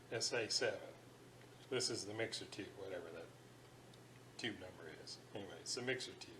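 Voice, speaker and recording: A middle-aged man giving a casual workbench demonstration, thinking aloud in an unhurried way with fillers. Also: mid-range voice, fairly bright tone, a little hiss or hum. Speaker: radio restoration hobbyist. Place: home electronics workbench.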